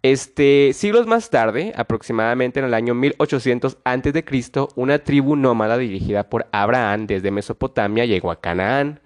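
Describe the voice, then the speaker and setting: A man with a blocked nose from a cold hosts a solo history podcast at an ordinary pitch for a man. He talks in a relaxed, conversational way, restarting phrases now and then and often closing with a small tag question. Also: moderate pace, few pauses. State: nasal congestion from a cold. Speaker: podcast host.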